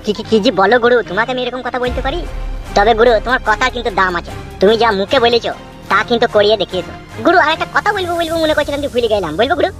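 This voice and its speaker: A woman voicing a cheeky male gang sidekick, full of flattery, in a comedy cartoon. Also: a little high, very fast, soft background music, lightly acted. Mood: fawning. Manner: flattering, stammers when flustered.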